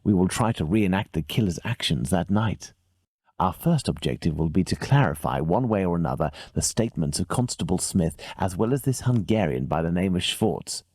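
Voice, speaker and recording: An actor playing a keen, self-assured gentleman detective with an English accent in a video game, precise and formal in his manner. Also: sits low, lively intonation, normal speed, no echo. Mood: brisk, instructing.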